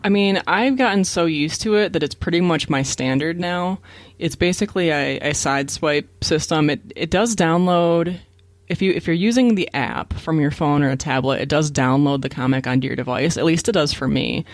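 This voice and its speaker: A man's voice: on the high side, moderate pace, no room sound. A man co-hosting a podcast, explaining things in a casual, matter-of-fact way. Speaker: podcast co-host.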